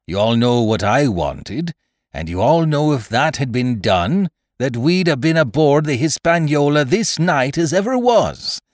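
A man's voice: mid-range voice, no room sound, clean studio sound, voice pushed up. A man voicing a sly, commanding pirate sea cook in a storybook reading, with rustic sailor speech, contemptuous and menacing. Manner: rustic old-time sailor speech with theatrical emphasis. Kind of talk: dramatised audiobook reading of a classic adventure story.

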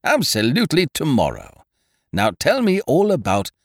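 A man voicing a booming, hearty and effusive male chancer in a humorous audiobook, with emphatic delivery. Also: huge pitch swings, moderate pace, no room sound, studio quality, full character voice. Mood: confidently reassuring. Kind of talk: humorous audiobook character dialogue.

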